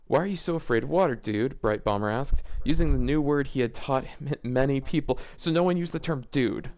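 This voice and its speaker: A man's voice: mid-range voice, brisk, a little mellow. A man hosting a casual read-aloud of fan fiction, breaking off for exasperated, joking commentary. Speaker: host reading fan fiction aloud with reactions.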